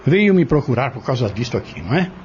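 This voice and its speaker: A man's voice: mid-range voice, sing-song, fast, darkish tone, faint music behind, lightly acted. A man playing a self-assured, courteous travelling salesman in an audio soap opera, speaking formally and probingly. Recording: dull lo-fi audio soap recording.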